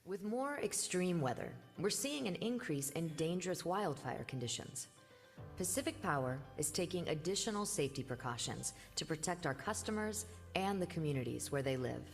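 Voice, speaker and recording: Low-voiced woman narrating a safety video. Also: normal speed. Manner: calm, even, informative. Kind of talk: scripted corporate voice-over. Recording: played back in a reverberant hall with music.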